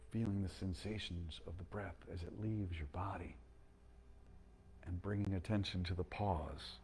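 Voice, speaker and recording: A man guiding a slow breathing meditation, speaking calmly and gently with long pauses. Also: on the low side, a little hiss or hum. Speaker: meditation guide.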